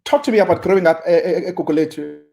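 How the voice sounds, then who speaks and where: A male host asks casual interview questions. His voice is high for a man, and he stammers and repeats words before he gets each question out. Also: racing, gravelly, warm tone.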